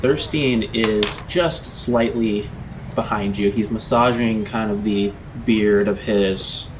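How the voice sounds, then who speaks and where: Slow, low-voiced man narrating. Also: steady tone, background noise. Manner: deliberate, pausing often between phrases. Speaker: game master. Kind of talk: narrating a tabletop game.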